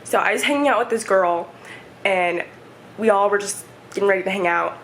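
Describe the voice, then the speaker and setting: A woman in her twenties, chatting casually and rambling through a home vlog, her voice hoarse and stuffy. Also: medium pitch, a little fast, a bit bright, a little hiss or hum, poor audio. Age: 20s. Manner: rambling, chatty, many fillers. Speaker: vlogger. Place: home, indoors. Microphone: camera set up nearby.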